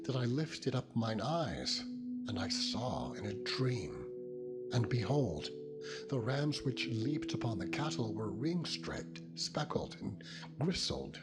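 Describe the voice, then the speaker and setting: A man's voice: mid-range voice, big pitch swings, slow, voice pushed up. A man voicing an earnest, aggrieved herdsman patriarch in a dramatized scripture reading, declamatory and emphatic. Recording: loud music under the voice.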